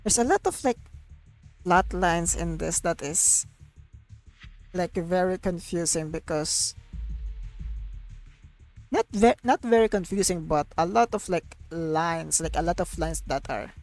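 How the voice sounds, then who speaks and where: A woman reacts to a game story on stream in a low, slow voice. She talks casually and haltingly, circling back over her words, with faint music behind her. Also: lively intonation, darkish tone, rough recording. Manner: halting, searching for words, repetitive. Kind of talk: casual live game commentary.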